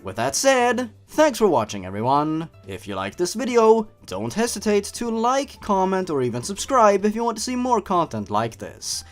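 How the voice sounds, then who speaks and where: A man's voice: high voice, wildly swooping pitch, normal speed, few pauses. A man reading a scary story in a theatrical, mocking way with comic commentary, as the host of a show. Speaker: host and narrator of a creepypasta review show. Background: music.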